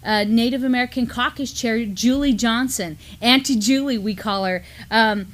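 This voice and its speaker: A middle-aged woman co-hosting a news discussion in a conversational style, with halting, filler-heavy delivery. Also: fairly high pitch. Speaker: co-host and university lecturer. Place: small studio, seated at a table.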